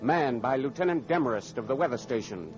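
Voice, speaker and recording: A man's voice: medium pitch, animated, brisk. 1940s radio announcer, man, muffled. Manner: dramatic, formal. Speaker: announcer and narrator. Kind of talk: 1940s radio scripted narration. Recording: muffled old radio recording with music.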